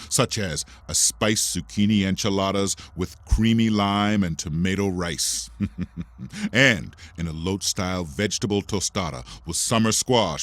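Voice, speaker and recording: A man's voice: low voice, a bit bright, no echo. A brash, sarcastic man co-hosting a podcast, doing irreverent ad banter. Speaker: podcast co-host reading an ad.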